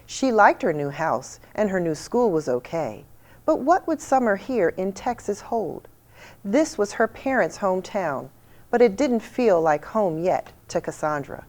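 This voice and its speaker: A woman reading a children's picture book aloud with expressive storytelling delivery. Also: medium pitch, measured pace. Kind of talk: storybook read-aloud narration.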